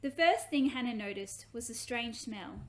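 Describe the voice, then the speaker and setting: A woman reading her own children's book aloud in an expressive, animated way. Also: a little high, moderate pace.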